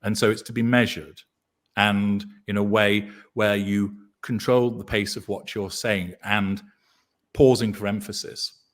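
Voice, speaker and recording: Deep-voiced man, measured and deliberate. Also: flat delivery. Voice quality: deep baritone voice. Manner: measured, deliberate, dry dark humor. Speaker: guest commentator. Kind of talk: casual chat.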